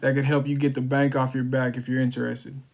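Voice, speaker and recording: A man's voice: medium pitch, little pitch movement, quick pace, creaky, a little mellow, slight background noise. A man who works as a real estate wholesaler, speaking casually and informally as he explains things, as on a sales call. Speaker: real estate wholesaler making cold calls. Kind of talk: casual chat and phone sales calls.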